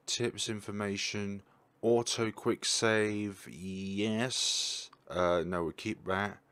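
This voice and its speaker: A young man with a low-pitched voice gives casual commentary on a game. He thinks out loud and gets excited as he goes, talking into a desk mic. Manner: chatty, thinks aloud, excitable. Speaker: gaming YouTuber. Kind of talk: casual let's-play commentary.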